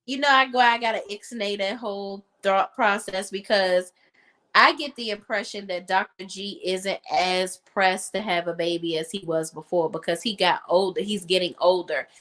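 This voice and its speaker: A chatty woman with a drawl, co-hosting a panel about reality TV. Her voice sits in a mid range, and she talks loosely, dropping into a lower voice when she quotes people. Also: steady tone, moderate pace, a bit bright. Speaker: co-host of a reality TV opinion panel. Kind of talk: casual chat.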